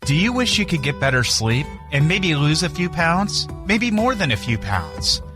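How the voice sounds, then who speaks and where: A man's voice: on the high side, sing-song, moderate pace, over music. A man reading a scripted radio commercial as its announcer.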